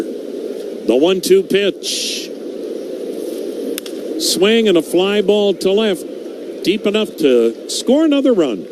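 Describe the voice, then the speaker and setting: Unhurried radio baseball announcer, noisy feed. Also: male voice. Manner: measured, calls each pitch and count. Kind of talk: baseball play-by-play commentary. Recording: noisy broadcast audio.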